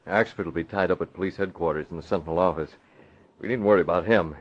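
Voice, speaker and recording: A determined, self-assured radio hero. His voice is very deep and he speaks in brisk, commanding phrases. The recording is a dull, lo-fi old broadcast. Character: confident, determined masked-vigilante hero and man about town. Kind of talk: old-time radio drama acting.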